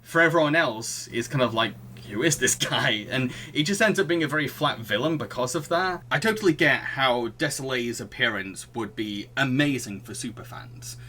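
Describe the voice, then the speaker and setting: A man with a very bright, forward voice at middle pitch, co-hosting a casual film podcast. He talks in a halting, amused way, with faint noise behind him. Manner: chuckles through sentences, halting. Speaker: podcast co-host.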